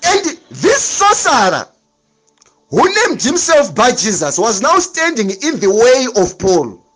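A man's voice: very high voice, wildly swooping pitch, slow pace, fairly bright tone, slightly rough, faint music behind. A man preaching a sermon, emphatic and repetitive, with dramatic swings in delivery.

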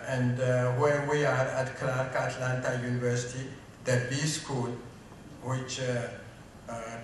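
Middle-aged Ethiopian-accented man, halting podium greeting full of fillers, roomy hall sound from a distant mic. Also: mid-range voice, slight background noise. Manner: hesitant, many fillers. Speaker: interim business school dean. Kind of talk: speech at a tribute event. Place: event hall, on stage. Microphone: stand mic. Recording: echoey hall, recorded from a distance.

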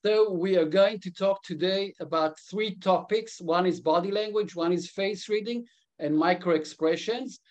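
A man in his fifties with a blended South African and Israeli English accent speaks in an earnest way to a webinar audience. His voice sits fairly high for a man. He stops and restarts his sentences often, and the sound comes through a video call. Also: moderate pace, muffled recording. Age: 50s. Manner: earnest, with frequent restarts and fillers. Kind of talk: live webinar presentation.

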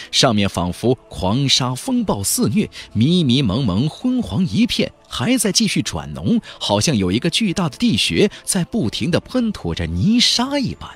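A man's voice: medium pitch, wildly swooping pitch, moderate pace, fairly bright tone, husky, soft background music. A man narrating a fantasy novel as a dramatic scripted audiobook.

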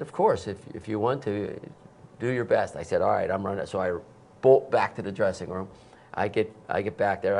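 A man's voice: lowish voice, creaky, slight background noise. A man in his sixties, a concert promoter, telling stories in a TV studio interview and acting out the quotes. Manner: animated, acts out quotes with sudden loud bursts. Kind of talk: anecdotal storytelling. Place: TV studio set. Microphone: lapel mic.

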